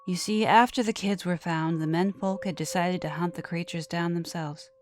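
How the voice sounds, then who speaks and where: A woman narrating a scripted horror story dramatically, often dropping to a hush at the ends of phrases. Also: on the low side, fairly even intonation, moderate pace, slight background noise. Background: background music.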